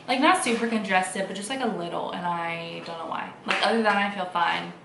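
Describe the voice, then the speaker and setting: A woman's voice: medium pitch, a little fast, vocal fry, a bit bright. A young woman vlogging at home in a casual, rambling, informal way, with a slightly congested voice. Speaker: vlogger and mother of a young child. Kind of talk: casual chat to camera. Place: indoors at home. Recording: roomy echo, distant mic, faint noise.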